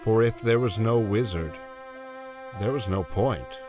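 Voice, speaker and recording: A man's voice: lowish voice, unhurried, lightly acted. A man narrating a fairy tale in a solemn, theatrical storytelling manner. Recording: loud music under narration.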